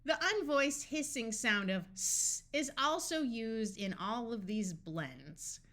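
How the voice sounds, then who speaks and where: Very slow-paced woman teaching phonics. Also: on the high side, animated, a bit bright. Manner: deliberate, sounds out words piece by piece. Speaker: reading tutor. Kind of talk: scripted phonics lesson narration.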